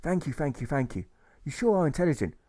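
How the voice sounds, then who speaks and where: A man's voice: a little high, sing-song, fast talker, warm tone, pitched above the performer's own voice. A man voicing a meek, starved prisoner in an audiobook, polite and earnest. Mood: earnest, grateful.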